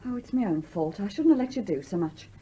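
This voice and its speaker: An actress playing a motherly, no-nonsense English working-class housemaid in a radio play, brisk and colloquial. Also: medium pitch, animated, warm tone, slightly rough. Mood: concerned. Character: plain-spoken, motherly, sturdy housemaid, no-nonsense and loyal. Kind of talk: 1970s radio play acting. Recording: dull, lo-fi old radio recording.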